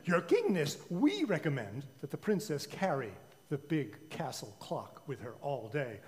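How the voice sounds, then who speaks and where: A man's voice: a little high, big pitch swings, unhurried, darkish tone. A man voicing solemn old royal advisors in an officious, declamatory manner for a story. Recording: reverberant church hall.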